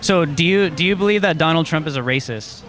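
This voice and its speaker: Man, confrontational street interviewer, slightly raised pitch, outdoors. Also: brisk, a bit bright. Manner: pushy, probing questions.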